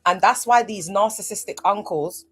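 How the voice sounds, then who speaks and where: A woman talks casually to her livestream viewers. Her pitch sits in the middle range, and she is animated, throwing in rhetorical questions and asides as she goes. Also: moderate pace, slightly rough, faint music behind. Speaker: livestream host.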